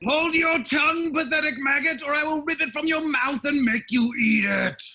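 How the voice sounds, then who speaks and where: A man's voice: very high-pitched, moderate pace, bright, forceful tone, exaggerated voice. An actor playing a scary, cold demon father in his fifties, imperious and threatening, in a comedy table read. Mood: menacing, furious. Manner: imperious, threatening, stiffly formal. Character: very scary 55-year-old humanoid demon father, cold and menacing.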